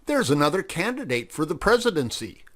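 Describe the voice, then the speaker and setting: A man reads as an earnest fox in an animal storybook. He is folksy and confiding, a bit suspicious, with a raised, lively pitch. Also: brisk. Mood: eager. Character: earnest, suspicious male fox bringing news.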